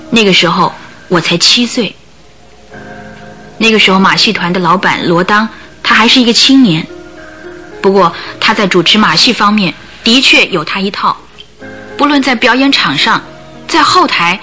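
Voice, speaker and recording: A woman's voice: fairly high pitch, sharp tone. An actress in a radio play voicing a bitter, sorrowful circus trainer's wife, telling her hard life in a plaintive, dramatic way with sighs. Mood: sorrowful, reminiscing.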